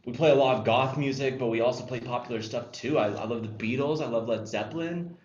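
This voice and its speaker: A man's voice: mid-range voice, fast, lightly acted. A man acting an earnest, defensive but hopeful teenage goth boy in a screenplay table read. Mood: open, proud. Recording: echoey room, dull lo-fi, faint noise.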